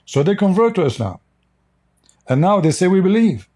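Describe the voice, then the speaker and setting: A man's voice: sits high, big pitch swings, moderate pace, dark tone. A man whose first language is Arabic gives a blunt, combative commentary in English, often repeating words and restarting his sentences. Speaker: online commentator. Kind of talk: unscripted commentary monologue.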